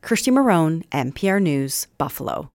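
A woman's voice: low-pitched, big pitch swings, moderate pace, darkish tone, dry recording. An American woman narrating a scripted radio news feature as a reporter. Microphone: close studio microphone.

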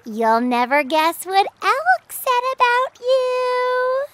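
An actor playing a small, giggly, mischievous clam who loves gossip in a children's audio folktale, with squeaky cartoonish delivery. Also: slow, very noisy. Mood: sly, gossipy. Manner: chatty, punning, giggly.

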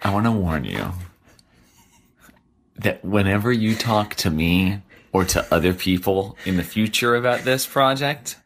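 A man's voice: lowish voice, lively intonation, measured pace, slight background noise. A man speaking informally and bluntly, emphatic and scolding in tone. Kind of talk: informal argument at home.

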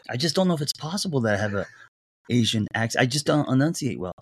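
A man hosting a casual interview chat, earnest and halting, often restarting his sentences. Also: mid-range voice, sing-song, breathy edge, no room sound, clean studio sound. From came to the US at three. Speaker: podcast host.

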